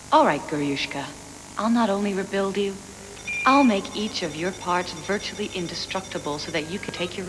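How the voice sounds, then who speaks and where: A man's voice: on the high side, fairly bright tone, lightly acted. A man playing a proud, obsessive cyborg doctor and schemer in an anime dub on worn tape. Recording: noisy worn VHS dub, long reverb.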